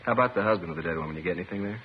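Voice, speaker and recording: A low-voiced American newspaper reporter acted by a man rattles through his talk quickly. He is casual and businesslike. The old radio drama recording is dull, with faint noise. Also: lightly acted. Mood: brisk, businesslike. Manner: quick, casual, checking facts. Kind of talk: 1950s radio drama acting.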